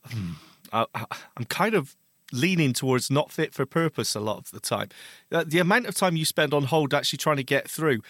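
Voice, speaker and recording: A man's voice: a little high, a bit bright, no room sound, clean studio sound. A man co-hosting a podcast, discussing tech news in a hesitant way with many restarts and fillers. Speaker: podcast co-host. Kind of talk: casual tech news chat.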